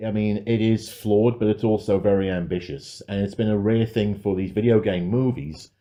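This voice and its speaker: A man with a lowish, dark and mellow voice gives a casual film review as a podcast co-host. His delivery is measured and considered. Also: fairly even intonation.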